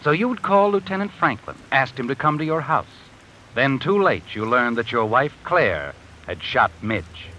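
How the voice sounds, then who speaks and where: A cool, knowing radio narrator. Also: male voice, mid-range voice, very animated, moderate pace, pushed and bright, a little hiss or hum, low-quality recording. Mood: insinuating. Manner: insinuating, speaks to the listener as "you". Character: cool, knowing male narrator taunting a guilty man. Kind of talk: 1950s radio drama narration.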